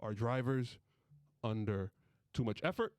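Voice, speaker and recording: A man's voice: mid-range voice, animated, a little slow, no room sound. Man hosting a casual podcast, dry sound. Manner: playful, bantering. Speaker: podcast host. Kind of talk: casual sports podcast chat with friends.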